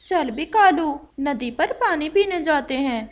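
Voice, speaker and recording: A very high-voiced female crow from a children's cartoon, acted by a woman. She is sulky and theatrical, complaining with lively, swooping intonation. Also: unhurried, a little hiss or hum. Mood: hurt, sulky. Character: sulky, dramatic female crow wife upset about forgotten birthday. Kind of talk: Hindi children's cartoon voice acting.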